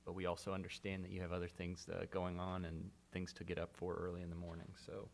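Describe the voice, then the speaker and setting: Man chairing a school board meeting; low voice, easygoing procedural delivery. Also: fast talker, creaky, slight background noise. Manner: relaxed, rambling procedural talk with fillers.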